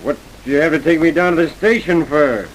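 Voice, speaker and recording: An actor playing a brazen, bullying farmhand and boastful killer, taunting in slang in the style of old-time radio drama. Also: male voice, on the high side, fairly quick, close to an everyday voice, low-quality recording. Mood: cocky. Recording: hissy vintage radio recording.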